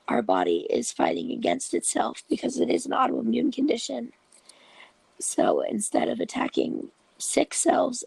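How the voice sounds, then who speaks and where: A host with a cold and a raspy, breathy voice, explaining a topic slowly and patiently in a casual vlog, often dropping into half-whispers. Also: medium pitch, darkish tone, dry recording, poor audio. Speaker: video host and patient support group leader.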